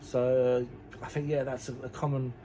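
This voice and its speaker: A man's voice: mid-range voice, little pitch movement, moderate pace, mellow, slight background noise, rough recording. A man giving an emphatic, firm interview answer.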